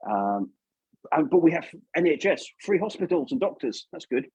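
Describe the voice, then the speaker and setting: A man's voice: fairly high pitch, sing-song, normal speed, darkish tone, dull audio, a little hiss or hum. A man with an English accent chatting casually as an interview guest, informal and often tagging questions onto his remarks. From England. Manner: informal, chatty, seeks agreement with tag questions. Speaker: guest puppeteer.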